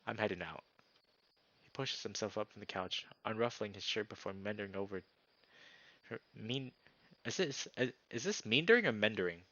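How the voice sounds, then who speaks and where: A man narrating a visual novel aloud as a streamer, with informal, expressive asides. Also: on the low side, brisk, highs cut off.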